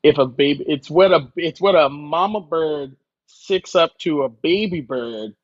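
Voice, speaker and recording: Man, slightly high voice, self-deprecating, joking guest chef, casual chat, dull lo-fi recording. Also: measured pace, no echo.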